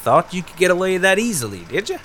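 An actor playing a nosy small-town fish and wildlife officer with a folksy, needling manner in an audio drama. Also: male voice, high voice, sing-song, quick pace, fairly bright tone, a little hiss or hum. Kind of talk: naturalistic audio drama dialogue.

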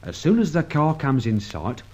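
Matey loyal henchman guy, old radio serial, bit high voice, very up and down, muffled sound. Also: sing-song, moderate pace, warm tone. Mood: easygoing. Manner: colloquial, hesitant, matey. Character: loyal working-class sidekick, cheerful, easygoing henchman. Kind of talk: old-time radio drama acting. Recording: dull old radio transcription recording.

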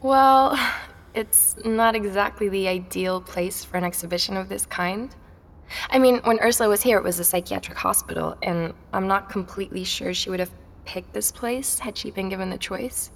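An actress playing a curious, quietly persistent young woman visitor, speaking tentatively in naturalistic audio drama dialogue. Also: medium pitch, normal speed, gravelly. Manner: tentative, inquisitive. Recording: long hall-like reverb.

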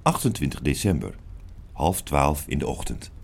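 A man's voice: extremely low pitch, big pitch swings, normal speed, vocal fry. A man giving scripted narration as the announcer of an audio drama. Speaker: narrator announcing time and date.

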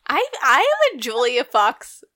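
A young woman co-hosting a podcast, talking in a gushing, chatty way and laughing often. Her voice is a little high and very bright, recorded close and dry. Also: unhurried, dry recording. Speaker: podcast co-host. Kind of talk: casual chat.

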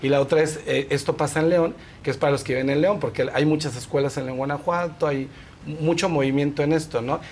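A man's voice: a little high, steady tone, brisk, darkish tone. A Mexican man speaking as an interview guest, chatty and explanatory, often checking for agreement with small tag questions. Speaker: guest, fashion film festival organizer. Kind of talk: casual interview chat. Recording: clear background noise.

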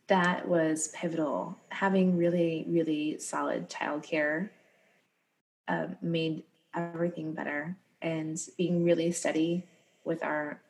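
Female podcast co-host in her thirties, lower register, tired scratchy voice, upbeat and candid, faint background hum. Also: little pitch movement, slow pace, long pauses. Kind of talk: casual podcast chat.

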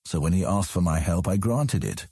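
A man playing a proud, measured ruler in a fantasy audio drama, speaking formally and with deliberate command. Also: low-pitched, lively intonation, warm tone, slightly rough, dry recording, studio quality, full character voice. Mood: grave, probing. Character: proud, measured ruler of an outland city on his throne.

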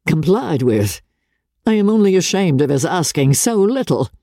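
A woman voicing a dry, rueful older gentleman, a weary father, blunt and wry in a dramatic reading of a classic novel. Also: fairly low pitch, very animated, normal speed, dry recording, pitched above the performer's own voice.

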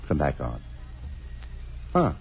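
A man's voice: very deep voice, wildly swooping pitch, takes it slowly, slightly rough, full character voice. An actor playing a charming, playful married man in love, suave and teasing in an old radio drama style. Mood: playful, romantic. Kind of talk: 1950s radio drama acting. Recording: muffled, noisy old radio broadcast.

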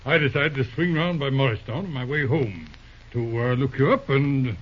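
An actor playing a genial, shrewd older lawyer uncle in an old radio drama, speaking with hesitant uhs and easy chuckles. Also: male voice, mid-range voice, normal speed, breathy edge, natural voice. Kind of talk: 1930s radio drama acting. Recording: dull lo-fi old radio recording.

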